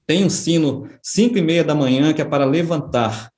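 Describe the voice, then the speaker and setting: Middle-aged man, slightly high voice, echoey room. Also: a little mellow. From Brazil. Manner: unhurried, with frequent tag questions and small restarts. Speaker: interviewee, writer and history professor. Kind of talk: reflective personal storytelling.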